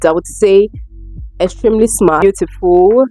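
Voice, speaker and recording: A woman's voice: medium pitch, measured pace, warm tone, faint music behind. A young woman with a Nigerian accent chatting casually to camera as a vlogger, informal and given to emphatic repetition. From Lagos, Nigeria. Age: young adult. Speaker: vlogger answering viewer questions.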